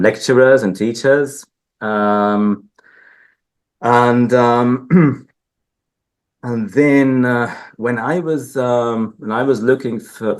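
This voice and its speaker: A man from Hungary, a linguist answering interview questions, talking hesitantly with many fillers and restarts. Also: low voice. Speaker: linguist guest. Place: research institute building.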